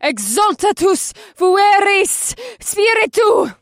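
Man putting on a high, unhinged witch woman. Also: big pitch swings, slow, fairly bright tone, no room sound, studio quality, heavily acted. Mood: screamed incantation. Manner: giggling, screeching, madwoman delivery. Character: gleeful, unhinged witch woman with vicious temper. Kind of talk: acted audiobook character dialogue.

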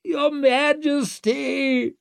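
A man voicing a desperate, self-pitying accused nobleman in an audiobook, shrill, blustering and wheedling. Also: very animated, slow pace, vocal fry, no echo, studio quality, full character voice. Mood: panicked wailing. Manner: wheedling, melodramatic, indignant.

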